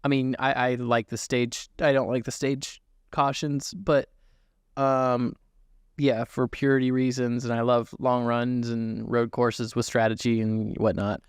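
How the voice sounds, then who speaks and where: A man's voice: mid-range voice, flat delivery, measured pace, clean tone, dry recording, clean studio sound. A man co-hosting a casual racing podcast, reasoning aloud and analysing as he goes, rephrasing his points along the way. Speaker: podcast co-host and racing driver. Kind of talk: casual sports podcast discussion.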